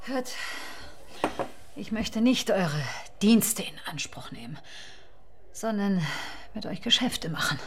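A woman's mid-pitched voice plays a seductive, shrewd madam in a radio drama. She speaks with pauses and a soft teasing manner, over faint ambient noise. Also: expressive. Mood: sly, inviting. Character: shrewd, seductive brothel madam with business plans.

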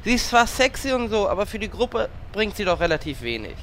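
A woman's voice: mid-range voice, sing-song, fairly quick, natural voice. A performer voicing a boastful shaman adventurer in a parody audio drama, with faint background noise. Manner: boastful, showy.

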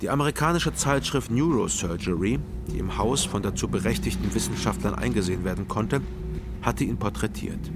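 An actor playing a wry, understated East German private detective in a crime radio drama. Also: male voice, lowish voice, a little fast, heavy background noise, close to an everyday voice. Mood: sober, brooding. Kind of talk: first-person crime radio drama narration and dialogue.

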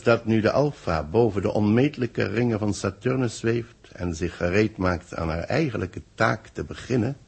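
An actor playing a grave space research official in a 1960s radio drama, explaining things in a measured, formal way. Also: male voice, on the low side, vocal fry, breathy edge, heavily acted.

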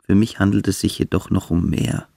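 Creaky-voiced, coldly fanatical schoolboy. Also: male voice, deep voice, steady tone, brisk, dry recording, studio quality, heavily acted. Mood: fanatical, intense. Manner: brooding, lecturing, with hushed whispered passages. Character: coldly fanatical teenage boarding-school boy, mystical, intellectual, cruel. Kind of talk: German radio play acting.

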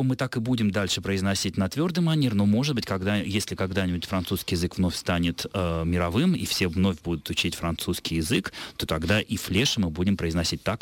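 A low-pitched man speaks in a radio studio, answering listener questions about word usage. He explains in a measured, thoughtful way, pausing with small hesitations as he works through his points. The sound is dry and close, with no room echo. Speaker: language expert answering listener letters. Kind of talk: radio talk on Russian usage.

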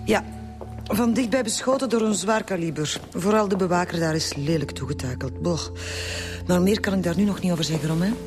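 A woman playing a brisk, matter-of-fact forensic doctor in a radio drama, speaking with a Flemish accent. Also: lowish voice, over music, lightly acted. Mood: grim, collegial.

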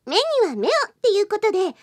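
Sweet polite anime schoolgirl radio host, very high lilting voice, formal and demure. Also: big pitch swings, quick pace, no room sound. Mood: earnest. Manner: soft-mannered, formally polite. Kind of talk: character radio show.

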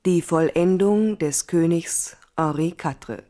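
A woman's voice: on the low side, slow pace, mellow. A woman reading scripted closing credits as a radio announcer.

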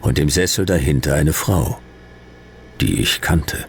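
An actor playing a cynical hard-boiled detective in a noir radio drama, speaking in dry, clipped, deadpan phrases. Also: male voice, extremely low pitch, a little slow, creaky, a little hiss or hum, full character voice. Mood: terse, sardonic.

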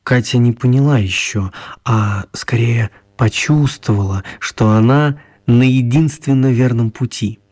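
A man narrating a children's radio play as an expressive, wry storyteller. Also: mid-range voice, unhurried, close to an everyday voice. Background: music.

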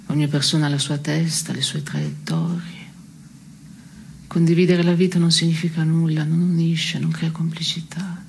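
An actress playing a disillusioned, caustic woman in an absurdist radio play, speaking in a terse, deadpan, sardonic manner. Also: deep voice, moderate pace, a little hiss or hum. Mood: weary, disillusioned. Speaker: stage actress. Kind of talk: theatrical dialogue in a radio play.

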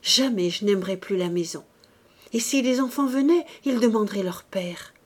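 A woman's voice: medium pitch, animated, moderate pace, close to an everyday voice. A woman voicing a distraught, guilt-ridden married woman who pleads and confesses feverishly, in dramatic audiobook style. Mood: sombre, guilty murmur. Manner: pleading, exalted, breaking into whispers. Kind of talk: dramatic audiobook character acting.

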